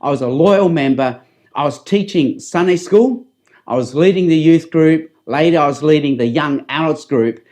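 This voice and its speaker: Middle-aged Australian man, higher-pitched voice. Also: normal speed, a little mellow. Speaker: public speaker and evangelist. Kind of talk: testimony addressed to camera.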